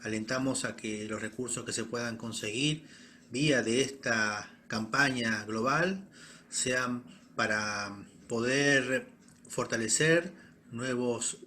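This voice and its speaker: A man closing a formal message with warm greetings. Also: mid-range voice, slight background noise.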